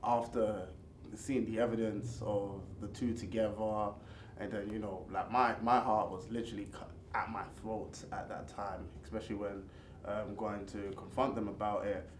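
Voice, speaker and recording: A man's voice: fairly low pitch, little pitch movement. A British man with a stammer talks through a casual interview chat. He halts and repeats himself and often laughs things off. The room is echoey, with faint background hiss. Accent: British English. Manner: halting, repeats words, laughs off questions. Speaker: guest.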